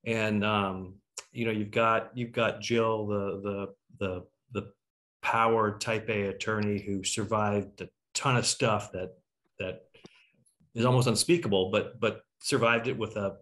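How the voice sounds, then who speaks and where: Man, middle-aged, fairly low pitch, host, halting repetitions, video call. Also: steady tone, fairly bright tone. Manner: halting, repeats words while searching. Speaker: talkback host. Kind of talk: discussion and questions on video call. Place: room at home.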